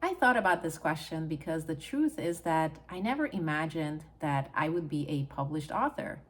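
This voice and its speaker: A woman's voice: low-pitched, very animated, moderate pace. A middle-aged woman from Hungary with a Hungarian accent, talking earnestly and encouragingly to camera as a channel host. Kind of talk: personal talk to camera.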